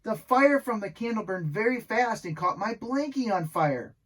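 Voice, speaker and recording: Man reading as earnest young storybook dog, husky. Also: high-pitched, expressive, moderate pace, fairly bright tone, voice pushed up. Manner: earnest, simple, addressing children. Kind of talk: children's storybook read aloud.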